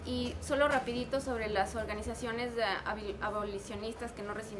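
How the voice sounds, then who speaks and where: A woman speaking as a panelist in a discussion, measured and hesitant. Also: a little high, steady tone. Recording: noisy room recording.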